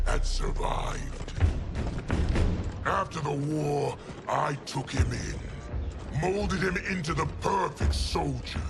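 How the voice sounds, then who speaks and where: A man playing a proud, hardened fantasy general in a cinematic game, forceful and declamatory. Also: on the high side, creaky, full character voice. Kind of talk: cinematic video game acting.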